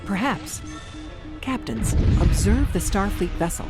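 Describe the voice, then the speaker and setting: A woman's voice: medium pitch, big pitch swings, a little slow, loud music behind the voice. A woman playing a cool, logical starship science officer, precise and formal, in an audio drama. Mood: deadpan.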